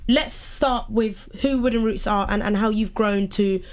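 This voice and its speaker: A woman's voice: mid-range voice, measured pace. A woman presenting on local radio, chatty and laughing often. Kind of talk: casual radio chat. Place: radio studio.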